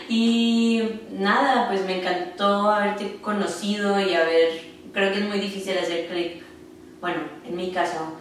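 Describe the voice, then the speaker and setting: A woman's voice: medium pitch, steady tone, takes it slowly, far from the mic, a little hiss or hum. A young woman from Monterrey with a northern Mexican accent, chatting casually and giggly, mixing in some English. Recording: very echoey room, off mic, music behind.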